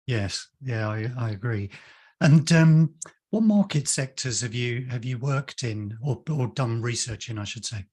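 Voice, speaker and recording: A man's voice: medium pitch, lively intonation, a little slow, darkish tone, breathy edge. A man hosting an interview on a video call, chatty and friendly, with fillers and small laughs. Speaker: interview host, company founder.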